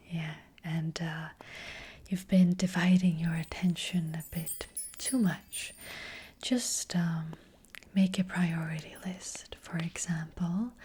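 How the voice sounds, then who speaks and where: A woman voicing a gentle witch fortune teller in a soft ASMR roleplay, whispering breathily and hesitantly, close to the microphone. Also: on the low side, slightly rough, exaggerated voice. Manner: gentle, hesitant, slow and reassuring.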